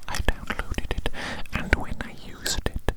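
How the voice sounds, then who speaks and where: A performer voicing a polite, eerily eager alien posing as a friendly doctor, in a breathy, repetitive, coaxing whisper for an up-close ASMR roleplay. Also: lightly acted. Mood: friendly, musing. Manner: slow, repetitive, formal and coaxing. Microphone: very close microphone.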